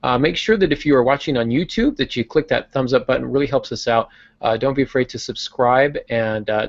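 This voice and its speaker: A man with a gravelly, creaky voice hosts a podcast and runs through the week's announcements in relaxed conversation, often pausing on "uh". The sound is dry and a little dull, like a lo-fi video-call recording. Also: mid-range voice, no room sound. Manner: conversational, many filler uhs. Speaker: podcast host.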